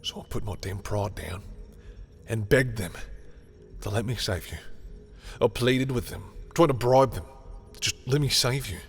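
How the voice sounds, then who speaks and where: A man playing a proud, defensive villain who is secretly lovestruck, speaking an intense, halting confession in a dramatic audio roleplay. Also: lowish voice, lightly acted. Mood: anguished, confessional. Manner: intense, halting confession, swearing, sometimes dropping to whispers. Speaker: voice actor in a romantic audio roleplay. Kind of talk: dramatic audio roleplay monologue addressed to the listener. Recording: music behind the voice.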